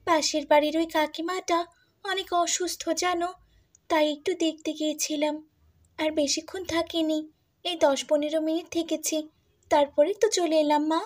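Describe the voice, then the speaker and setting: A woman voicing a meek, sorrowful young stepdaughter in a cartoon, speaking softly and pleadingly. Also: high-pitched, little pitch movement, normal speed, lightly acted. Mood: pleading, hurt. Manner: soft, pleading, plaintive. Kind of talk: Bengali cartoon dubbing.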